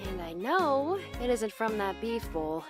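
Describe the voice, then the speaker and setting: High-pitched, playful teenage girl played by a woman. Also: very animated, measured pace. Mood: playful, teasing. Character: playful teasing high-school girl, close friend. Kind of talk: visual novel voice acting. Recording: clear music behind the voice.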